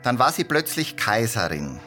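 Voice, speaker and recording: An actor playing an earnest expert guest in a radio drama interview, explaining with emphatic pauses. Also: male voice, mid-range voice, big pitch swings, pushed and bright, faint music behind.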